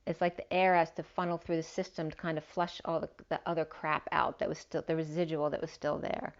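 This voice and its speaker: Woman, lowish voice, slightly hoarse, tarot reader rambling through her spread on a dull lo-fi recording. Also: level tone, a little fast. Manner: meandering, listing cards with fillers. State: losing her voice a little. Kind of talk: spiritual tarot reading. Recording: dull lo-fi sound.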